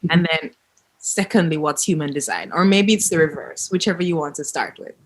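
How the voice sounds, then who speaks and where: A woman's voice: low-pitched, brisk, poor audio. A woman hosting a podcast, asking questions in an effusive, giggly way. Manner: warm, effusive, giggly interviewer. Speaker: podcast host. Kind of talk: casual interview chat.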